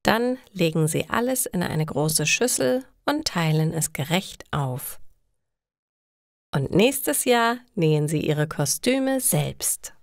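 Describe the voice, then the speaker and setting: A woman narrates simple stories for language learners in careful, scripted phrases. Her voice sits a little low and she takes her time, very slowly, in a dry, close sound. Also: lively intonation, creaky, dry recording. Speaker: storyteller for German learners.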